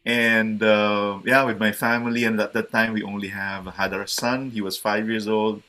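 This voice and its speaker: A man in his forties, from the Philippines, speaks as a guest over a video call. His voice is bright and sharp-edged. He talks hesitantly, with frequent ums, restarts and easy laughs. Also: lowish voice, flat delivery, moderate pace. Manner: hesitant, many fillers and restarts, laughs often. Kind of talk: casual interview chat.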